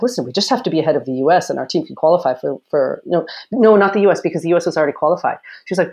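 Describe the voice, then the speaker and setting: Woman from canada, loud low voice, chatty interview, acts out quotes and laughs a lot. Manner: animated storytelling with laughter and acted quotes. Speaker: Olympic fencer, guest. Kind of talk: casual interview conversation.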